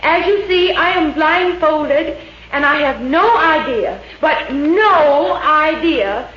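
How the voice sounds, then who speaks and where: Very high-pitched, slow stage mind reader. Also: female voice, expressive, a bit bright, heavily acted. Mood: grandly solemn. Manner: drawn-out, grandly declaimed delivery. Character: blindfolded stage mind reader, solemn and showy. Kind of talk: 1950s radio comedy acting. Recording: muffled, hissy 1950s radio recording, roomy.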